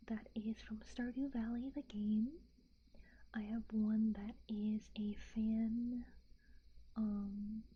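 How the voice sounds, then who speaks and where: A young woman from the United States whispering in a soft, rambling ASMR chat, with fillers and little giggles. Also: fairly high pitch, breathy edge, lo-fi sound.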